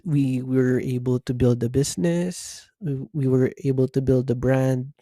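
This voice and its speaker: A man's voice: mid-range voice, mellow, no room sound, clean studio sound. A young man from Macau with a Filipino accent, hosting a podcast as a casual, reflective monologue, mixing English and Tagalog. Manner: mixes English and Tagalog, thoughtful, restarts phrases. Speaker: podcast host.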